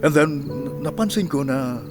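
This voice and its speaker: A man's voice: fairly high pitch, expressive, moderate pace, music in the background, natural voice. A man playing a genial older father and company chairman in a radio drama, reminiscing and mixing in English. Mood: nostalgic. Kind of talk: radio drama acting, storytelling.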